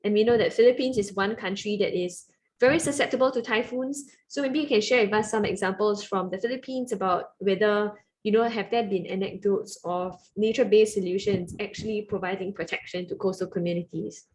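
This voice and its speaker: A woman's voice: medium pitch, brisk. A woman with a Singaporean accent, moderating a panel in a conversational interviewing style. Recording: faint noise on webinar audio.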